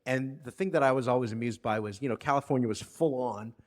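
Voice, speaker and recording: A man co-hosting a podcast in a rambling, digressive way. He keeps up easy, agreeable banter. His voice sits at an ordinary middle pitch. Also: fast talker, darkish tone. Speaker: podcast co-host. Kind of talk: casual podcast chat.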